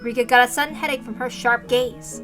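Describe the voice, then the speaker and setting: A woman narrates a dramatic visual novel in a high voice. She reads scripted story passages aloud over clearly audible music. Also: steady tone, fairly quick, fairly bright tone.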